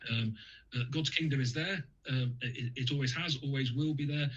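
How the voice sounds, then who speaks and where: Husky-voiced man, hesitant radio guest. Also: medium pitch, moderate pace, a bit bright, lo-fi sound. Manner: hesitant, many fillers and restarts. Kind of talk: radio interview, conversational.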